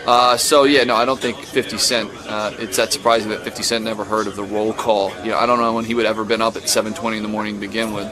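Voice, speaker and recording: A man's voice: lowish voice, level tone, quick pace, hardly any pauses. A man giving casual, opinionated commentary, blunt and dismissive. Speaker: interviewee. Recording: busy crowd noise behind.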